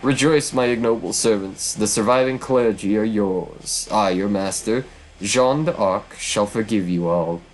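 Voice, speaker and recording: A man's voice: fairly low pitch, unhurried, a little hiss or hum, natural voice, rough recording. A man voicing a vengeful witch woman from hell, speaking in a theatrical, formal and taunting way. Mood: cold, commanding.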